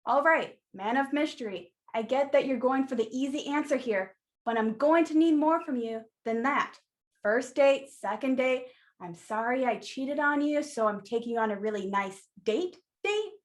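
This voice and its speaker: Woman acting a high-voiced, sassy shopkeeper. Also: lively intonation. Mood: wry, prodding. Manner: brisk, wry, teasing. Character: sassy, blunt, no-nonsense female flower shop keeper. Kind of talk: radio play acting.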